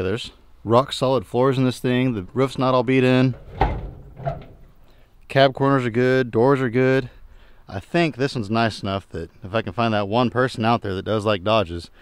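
A man in his thirties giving a relaxed, plain-spoken walkthrough of his junkyard, often trailing off quietly at the end of a remark. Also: mid-range voice, moderate pace, clear, resonant tone, no echo. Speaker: junkyard owner and channel host. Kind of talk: casual vlog walkthrough. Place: outdoor junkyard and inside a pickup truck.

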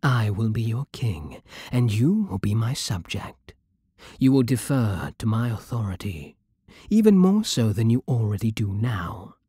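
A man playing a possessive, commanding vampire king in an intimate ASMR roleplay, with a hushed, breathy British delivery that is slow and deliberate. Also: fairly low pitch, big pitch swings, dry recording, clean studio sound, heavily acted. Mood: seductive, solemn.

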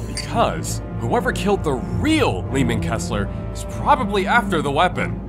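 Comic-dub fighter acted by a man: big-hearted bruiser, emphatic, slightly high and very animated. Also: normal speed, over loud music. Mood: urgent. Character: brawny, hearty male fighter, adventurer. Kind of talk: comic dub character acting.

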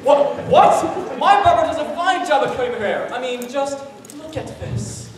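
A teenage American actor playing a showy, wisecracking game show host in a live stage comedy skit, announcing with drawn-out flair. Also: male voice, extremely high pitch, animated. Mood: excited, showy. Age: teens. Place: school auditorium stage. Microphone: handheld mic through PA, far camera. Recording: echoey noisy auditorium from far off.

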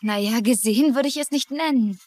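A woman's voice: a little high, a little fast, a little mellow, soft background music, natural voice. An actress playing a hesitant but self-important female town gossip in a dubbed crime series. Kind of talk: dubbed TV crime drama dialogue.

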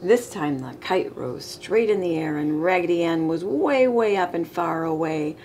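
A middle-aged woman reading a children's picture book aloud with expressive, emphasized storybook delivery. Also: on the low side, normal speed. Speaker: storytime reader. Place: living room, indoors. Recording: clear background room noise.

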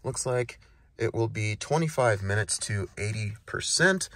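A man's voice: on the low side, animated, measured pace. A man in his thirties talking casually to viewers as a car vlogger, explaining things in a conversational way. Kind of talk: casual vlog narration to viewers. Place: inside a moving car, later outdoors at a charging station. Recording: noisy handheld recording with vehicle or street noise.

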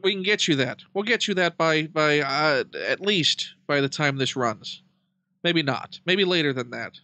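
A man's voice: fairly high pitch, expressive, unhurried, pushed and bright, no echo. A man chatting casually as an interviewer, bantering with dry, wry humor.

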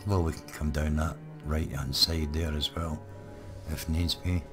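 A man talks through his strategy game with a very deep, hoarse, croaky voice. He rambles easily, with lots of ums and uhs. Faint music plays underneath. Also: unhurried. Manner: relaxed, rambling, many ums. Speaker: gaming channel host. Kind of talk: live let's play commentary.

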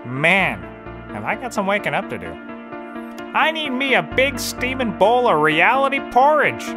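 An actor playing a dazed, bumbling cheap private detective in a scripted comedy audio drama, rambling in a deadpan way. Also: medium pitch, moderate pace, music in the background, natural voice.

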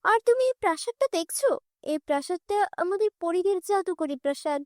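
Woman as a cartoon fairy, female, stern yet kindly, commanding, very high clear-cut delivery. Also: animated, brisk, darkish tone, dry recording, clean studio sound. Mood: suspicious, commanding.

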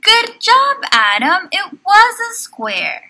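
Very bright, high-voiced woman teacher. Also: slow, poor audio. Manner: encouraging, animated, prompting with questions. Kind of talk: children's online lesson with story read-aloud.

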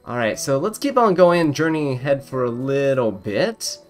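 A man commentates casually over game music. His pitch moves around a lot, and he reacts aloud in an upbeat, chatty way. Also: expressive, moderate pace. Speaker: gaming content creator. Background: video game music.

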